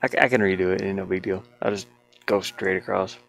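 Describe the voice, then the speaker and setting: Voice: low-pitched, steady tone, fast talker, creaky. A game developer talking casually to themselves while they work, muttering with playful asides. The speaker's voice could be a man's or a woman's.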